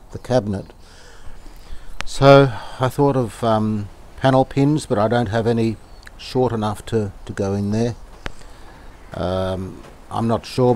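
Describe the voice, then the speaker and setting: A man from Australia with a lowish, very mellow voice talks casually through workshop repairs. He is unhurried and halting, thinking aloud as he goes, with some background noise. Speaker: radio restorer presenting his own repair videos. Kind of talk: casual hands-on workshop narration.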